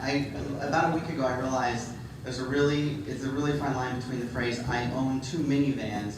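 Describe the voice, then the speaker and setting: A middle-aged man giving a humorous conference talk, self-deprecating and full of ums. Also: medium pitch. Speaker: conference speaker. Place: large hall with audience. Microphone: handheld microphone. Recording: echoey hall, distant mic, background noise.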